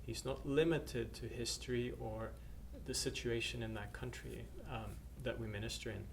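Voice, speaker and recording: Middle-aged male missionary from Norway, plain mid pitch, halting testimony with fillers; faint background noise. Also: steady tone, gravelly. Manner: hesitant, many uhs and restarts. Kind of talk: personal testimony to camera.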